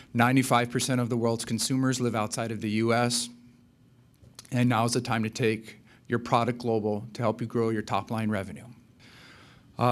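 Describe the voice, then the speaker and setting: A man from Hawaii presenting slides at a business seminar, with a polished, mostly scripted delivery and occasional fillers. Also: mid-range voice. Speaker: account executive presenting.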